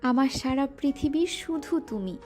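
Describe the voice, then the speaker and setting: A woman's voice: high-pitched, expressive, unhurried, darkish tone. A female voice actor playing a shy, teasing young wife in an audio romance drama. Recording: music bed underneath.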